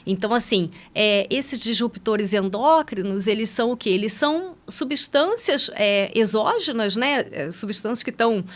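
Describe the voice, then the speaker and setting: Podcast guest expert: Brazilian woman, higher-pitched voice, explains medical matters in an informal, tag-question-peppered way. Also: lively intonation, normal speed, hardly any pauses, a bit bright. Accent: Brazilian Portuguese. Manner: explanatory, often checking with "né". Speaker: guest expert, pediatric endocrinologist.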